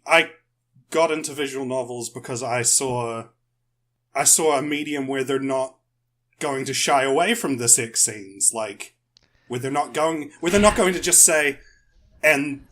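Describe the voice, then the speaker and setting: A man's voice: mid-range voice, fairly bright tone. A man speaking informally in a panel discussion.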